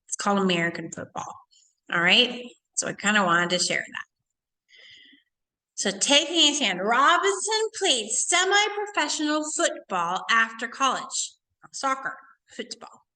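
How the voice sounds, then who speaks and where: Lady teacher doing a read aloud for kids, bright crisp-edged voice, takes her time, asks questions as she goes. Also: on the high side, poor audio. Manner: didactic, pauses to question and explain to listeners. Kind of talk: read-aloud lesson for children with asides and questions.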